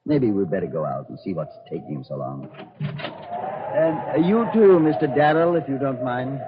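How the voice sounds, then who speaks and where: An actor playing a scornful, callous Nazi colonel in a 1940s radio drama, barking orders dismissively. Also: male voice, mid-range voice, very animated, normal speed, close to an everyday voice. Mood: cool, dismissive. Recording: noisy, dull old radio recording.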